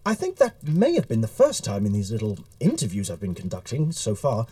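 An earnest, bookish Victorian gentleman with a low, mellow voice. His pitch swings widely as he talks, courteous and easily flustered, in an audio drama interview. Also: wildly swooping pitch, a little fast. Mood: earnest, inquiring.

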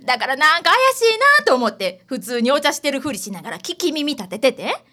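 Actress as a high-pitched, gossipy Kansai housewife. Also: female voice, very animated, brisk, pushed and bright, heavily acted. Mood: excited, alarmed. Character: chatty, dramatic, gossipy city housewife client. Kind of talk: radio drama acting.

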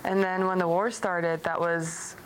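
Clear background noise surrounds a young woman from Syria with a fairly low voice. She talks openly and reflectively, as a guest in a personal chat, and is prone to giggling. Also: level tone, a little slow, rough recording. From Damascus, Syria. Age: young adult. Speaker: podcast guest, medical device engineer.

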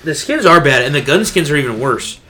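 A man's voice: on the high side, lively intonation, brisk, pushed and bright, slight background noise. A man co-hosting a casual gaming podcast, ranting in a slangy, emphatic, exasperated way. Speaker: podcast co-host.

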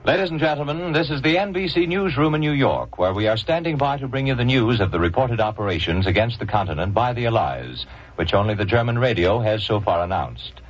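A dull, muffled 1940s radio recording of a male news announcer. He is formal and deliberate, husky, with a strongly rising and falling intonation. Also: medium pitch, lively intonation.

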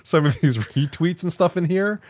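Man chatting with very animated pitch. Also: moderate pace. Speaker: podcast co-host. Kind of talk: casual chat.

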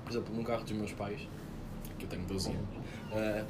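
A young man with a European Portuguese accent in casual chat as a podcast co-host, quick with questions and interjections. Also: fairly low pitch, level tone, heavy background noise.

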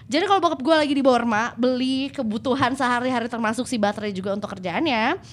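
A woman's voice: a little high, fast. A woman, chatting casually as a podcast co-host in slangy speech mixed with English phrases. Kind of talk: casual nostalgic chat.

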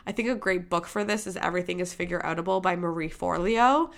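A woman's voice: on the low side, quick pace, gravelly. A young woman from Michigan hosting a podcast, talking candidly and emphatically in a casual motivational monologue, swearing freely. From Michigan, USA. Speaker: podcast host.

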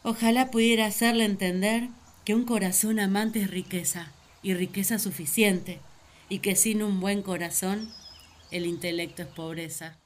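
A woman's voice: mid-range voice, fairly even intonation, moderate pace, a little hiss or hum, lightly acted. A woman playing Eve, an earnest, talkative young first woman, telling her story in a radio play. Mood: reflective. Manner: earnest, wistful, storytelling to the listener.

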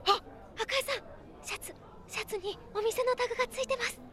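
Very high-voiced, earnest tea shop girl. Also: a little fast, a bit bright. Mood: flustered. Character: cheerful, earnest, clumsy young female tea shop manager. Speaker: radio drama actor. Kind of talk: light comedic radio drama acting.

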